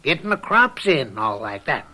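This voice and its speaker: An actor playing a jovial but bossy and miserly old farmer uncle of 69 in a radio mystery drama, folksy and rambling. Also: male voice, mid-range voice, big pitch swings, normal speed, sharp tone, full character voice. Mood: brisk, insistent. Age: older. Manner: folksy, rambling, chuckling hard bargainer.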